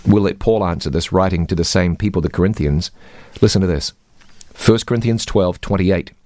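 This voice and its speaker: A man's voice: low-pitched, moderate pace, dark tone, dry recording, studio quality. A man teaching the Bible, reading scripture aloud and quizzing his co-host.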